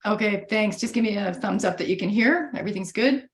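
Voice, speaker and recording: A woman's voice: medium pitch, brisk. A woman losing her voice a bit, chatting through a video-call webinar presentation with lots of fillers and restarts. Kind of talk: casual presentation. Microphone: computer mic on a video call.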